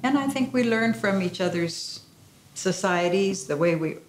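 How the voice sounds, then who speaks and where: An older woman answering in a documentary interview, warm and reflective, with some fillers. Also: medium pitch, lively intonation, normal speed, roomy sound, noisy background.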